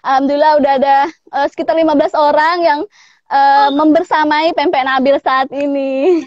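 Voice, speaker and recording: A woman's voice: sits high, steady tone, moderate pace, dry recording, dull audio. A woman from Palembang in her thirties, a food business owner chatting casually in an interview over a video call, with frequent laughs. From Palembang, Indonesia. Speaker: pempek business owner, guest.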